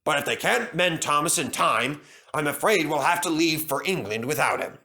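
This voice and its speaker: A man's voice: fairly high pitch, lively intonation, normal speed, pushed and bright. A man voicing a stern railway director in a storybook, speaking formally and with authority. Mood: authoritative. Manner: authoritative, formal, bellowing when crossed.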